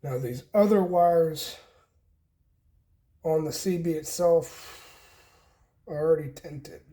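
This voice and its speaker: Guy doing a diy how-to, kinda higher voice, really unhurried, explains step by step in little bits while he works. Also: warm tone. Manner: informal, step-by-step explaining in short pieces, often while working. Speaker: host of a DIY homestead channel, demonstrating wiring. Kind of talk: casual hands-on tutorial.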